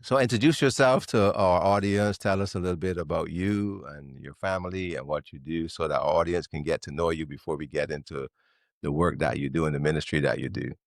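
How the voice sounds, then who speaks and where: Podcast host guy, really low voice, friendly, says um a bit, dry sound. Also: brisk, no room sound. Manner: friendly, with fillers. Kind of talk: podcast interview.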